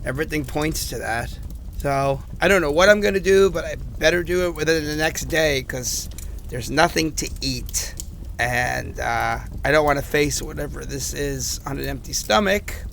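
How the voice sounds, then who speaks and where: A man's voice: fairly high pitch, moderate pace, creaky, a bit bright, a little hiss or hum. A man acting a frightened, exasperated man who records rambling personal messages in a haunted-house audio drama. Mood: weary, uneasy. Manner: conversational, rambling, exasperated, incredulous.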